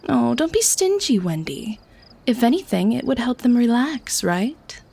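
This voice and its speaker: Sly, mellow-voiced lady vampire played by an actress. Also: on the high side, sing-song, measured pace, creaky, breathy edge, exaggerated voice. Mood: hungry, teasing. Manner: coaxing, silky, genteel, mock-sweet. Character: sly, teasing, predatory lady vampire feigning harmless friendliness. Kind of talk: scripted ASMR audio roleplay.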